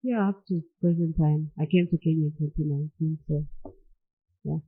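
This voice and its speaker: Husky young woman on a tinny line. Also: deep voice, normal speed. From born in England, raised in Rwanda. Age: young adult. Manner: relaxed, laughs often, many filler yeahs. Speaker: podcast guest. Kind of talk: casual interview chat.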